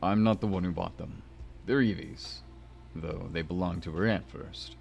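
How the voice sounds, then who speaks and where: A man voicing a kindly, wistful older schoolteacher, deep and wordy, in a visual novel, with music behind. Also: moderate pace, gravelly, darkish tone. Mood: wistful, chatty. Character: kindly, bemused older male former teacher, wistful widower.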